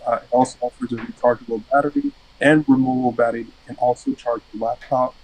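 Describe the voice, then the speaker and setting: A man's voice: medium pitch, steady tone, fast, darkish tone, breathy edge, no echo, low-quality recording. A young man from Fiji, a student explaining his team's invention in an interview, earnest and explanatory. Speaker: student team member. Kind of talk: interview answers.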